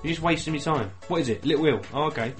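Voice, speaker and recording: A man's voice: a little high, very fast, soft background music. A man from England co-hosting a podcast, mumbling in slangy, sweary casual banter. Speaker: podcast co-host.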